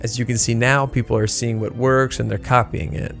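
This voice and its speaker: Man talking casually, flat even pitch. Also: brisk, vocal fry, faint music behind. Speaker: guest creator of a horror web series. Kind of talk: casual reflective commentary.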